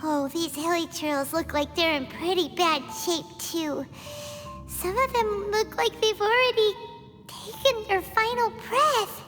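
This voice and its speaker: A woman voicing a tiny, chatty fairy companion in a video game, with a squeaky childlike voice. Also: steady tone, unhurried, fairly bright tone, faint music behind. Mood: subdued, wistful. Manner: exclamatory, refers to herself by name.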